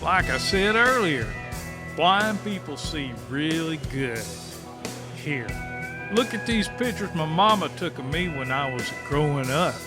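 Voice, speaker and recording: High-pitched goofy backwoods muscleman. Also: animated, a little slow, over music. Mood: proud, naive. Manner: folksy, goofy bragging. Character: dim, cocky, cheerful backwoods muscleman sidekick. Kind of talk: comic audiobook dramatization.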